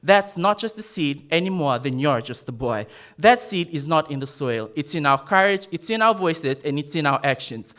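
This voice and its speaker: A man's voice: on the high side, lively intonation, normal speed, few pauses, a bit bright. Emphatic young man giving a speech. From Fiji. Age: young adult. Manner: emphatic, rhetorical, varying volume for emphasis. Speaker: youth orator. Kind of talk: competition speech.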